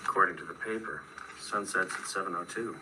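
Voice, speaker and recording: A man's voice: deep voice, brisk, heavy background noise, close to an everyday voice. An actor playing an earnest, haunted writer who tells his grim tale in a horror radio drama, faltering when afraid. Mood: grave, haunted. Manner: grave narration, faltering when frightened. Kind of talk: dramatic first-person radio narration and acting. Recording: distant radio drama sound, loud music behind.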